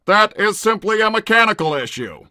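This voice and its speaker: Bright, blunt combat robot. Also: male voice, high-pitched, normal speed, no room sound, clean studio sound, heavily acted. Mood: flat, official. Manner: formal, deadpan, stilted. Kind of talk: in-character livestream roleplay, reading viewer messages.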